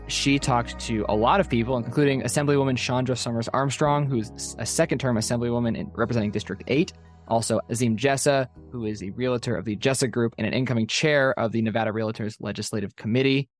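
A man co-hosting a podcast, reading scripted introductions briskly. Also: medium pitch, faint music behind. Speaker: podcast host. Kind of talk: scripted narration.

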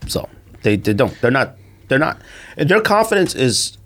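A man's voice: medium pitch, very animated, moderate pace. A man co-hosting a casual sports podcast, pushing his opinions emphatically and repeating himself for effect.

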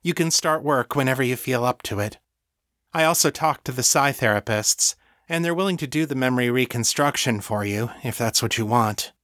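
A man voicing a helpful, easygoing woman in an audiobook, with a brisk, casual manner. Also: mid-range voice, fairly bright tone, no room sound, clean studio sound, natural voice. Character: Sasha, helpful, easygoing telepath woman, friendly and teasing.

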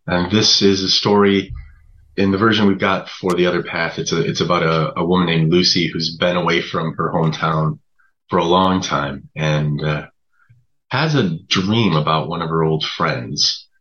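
A man speaking as a guest writer in an interview, conversational and a little hesitant, pausing often. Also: low-pitched, fairly bright tone, muffled recording. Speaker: guest writer of audio drama.

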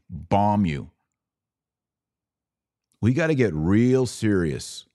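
A low-pitched American man speaks in a dry, close studio sound. He hosts an opinion podcast, pressing his points emphatically and preachily, often through rhetorical questions. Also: a little mellow, dry recording. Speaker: podcast host. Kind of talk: opinion commentary monologue.

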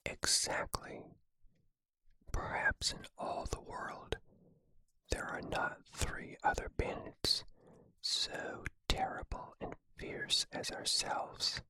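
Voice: extremely high pitch, slow, pushed and bright, exaggerated voice, poor audio. A reader voicing a proud, theatrical thin bandit man from Italy in a grandiose, courtly whisper for a storybook. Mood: proud, boastful.

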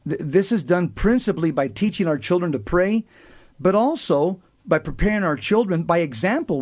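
Earnest, emphatic radio co-host, dry studio sound. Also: male voice, high-pitched, a little mellow, no room sound. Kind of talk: radio talk show storytelling and teaching.

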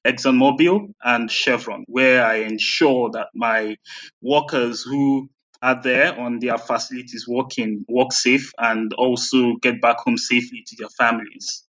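A man's voice: medium pitch, fairly even intonation, measured pace, a bit bright. A man with a Nigerian accent answering conversationally as an interview guest. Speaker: interview guest, health and safety coordinator.

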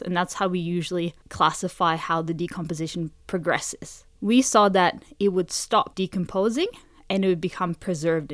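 A woman researcher from Norway with a fairly low voice, recorded dry with no room sound. She answers interview questions in a measured, factual, explanatory way. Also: no echo. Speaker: forensic science researcher, interview guest.

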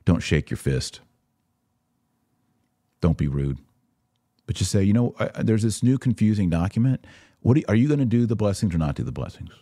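A man's voice: fairly low pitch, sing-song, moderate pace, long pauses, warm tone, dry recording, studio quality. A man hosting a podcast, giving casual religious commentary and answering listeners in a conversational, emphatic way. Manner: conversational, emphatic, acts out imagined exchanges.

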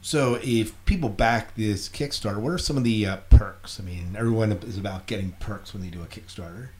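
A man with a lowish voice hosts a casual podcast interview. He speaks haltingly, often rewording a question partway through. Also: a little mellow, a little hiss or hum. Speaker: podcast host.

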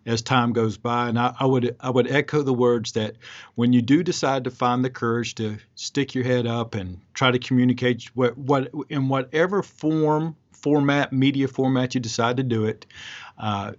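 A man hosting a podcast interview, speaking slowly and thoughtfully in a relaxed, agreeable way. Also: medium pitch. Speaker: podcast host. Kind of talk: casual interview conversation. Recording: muffled audio.

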